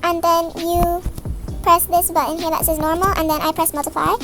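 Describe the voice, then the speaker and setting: Casting: female tutorial creator, very high girlish voice, informal step-by-step explaining, music underneath. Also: flat delivery, moderate pace. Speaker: video creator giving a tutorial. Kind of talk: casual step-by-step tutorial voice-over.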